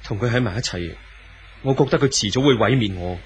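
An actor playing an earnest, brooding young lover in a 1970s radio drama, solemn and poetic, with a Hong Kong Cantonese accent. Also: male voice, fairly low pitch, fairly quick, slight background noise, natural voice. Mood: anxious, dread. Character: earnest young romantic man, idealistic and brooding.

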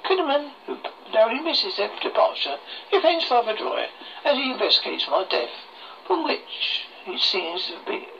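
A horror host reading a scripted story aloud in a halting way, stumbling over words, on a muffled lo-fi recording. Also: medium pitch, gravelly. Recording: muffled lo-fi recording with faint noise.

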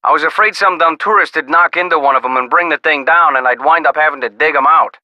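An actor playing an easygoing, skeptical small-town sheriff in a video game, with a folksy, casual manner. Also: male voice, a little high, dry recording, lo-fi sound, lightly acted. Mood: dismissive, easygoing. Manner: folksy, casual, drawn-out reactions. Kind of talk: video game character dialogue.

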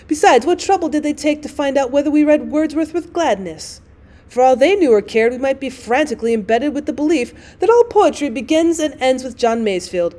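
Woman reading as a tart, weary, high-voiced wife. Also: dark tone. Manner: wry, formal literary phrasing.

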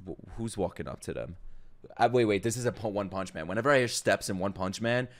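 A young man streaming his reaction to an anime at home, cracking crude jokes and breaking into sudden loud outbursts. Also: on the low side, animated, quick pace. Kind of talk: live reaction commentary. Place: home streaming room with gaming chair. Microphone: studio mic on boom arm, headphones on. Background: anime soundtrack playing faintly.

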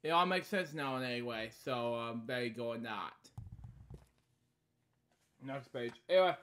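A man in his thirties hosting a bedtime story series, reading a children's book aloud in short halting lines with casual, repetitive asides. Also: mid-range voice, a bit bright. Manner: halting, repeating stock phrases between short read lines. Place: small indoor room at home.

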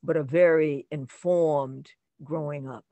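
A woman's voice: deep voice, steady tone, takes it slowly, dark tone, no echo. Older woman reminiscing, close and dry. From North Carolina, United States. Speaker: guest actress. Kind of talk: casual personal storytelling. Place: room at home, on a video call.

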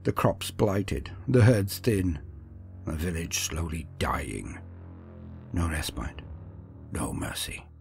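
A man voicing a brooding male sorcerer speaks in a very low, hushed voice over music. He is defiant and deliberate, telling his own dark fantasy story. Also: big pitch swings, gravelly, heavily acted. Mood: brooding resolve. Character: brooding, defiant village sorcerer, once an apprentice.

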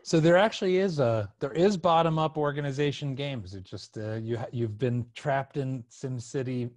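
A man's voice: medium pitch, moderate pace, a little mellow, dry recording. A middle-aged man chatting casually as a podcast host, speaking close to the microphone. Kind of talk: casual conversational podcast interview. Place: small room with couch, indoors. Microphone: close podcast microphone on boom, headset.

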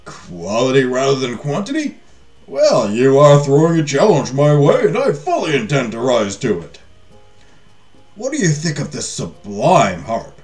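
A man's voice: mid-range voice, sing-song, measured pace, a little mellow, faint music behind. A man voicing an ingratiating storybook merchant with a flowery, salesmanlike manner. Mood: confident, selling. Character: well-traveled, ingratiating male merchant of rare treasures. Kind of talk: storybook character reading.

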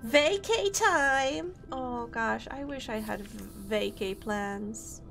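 Bubbly Polish woman streamer, slow and high. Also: vocal fry, music in the background. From Poland. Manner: chatty and bubbly, with sudden excited shrieks and greetings to viewers. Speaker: VTuber streamer. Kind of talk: casual live-stream chat while gaming.

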